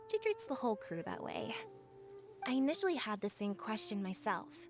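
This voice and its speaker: A woman playing a modest, earnest young ship navigator in a video game, speaking in a measured, courteous way. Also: a little high, big pitch swings. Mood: admiring, earnest. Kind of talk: scripted video game dialogue. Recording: game dialogue with music behind.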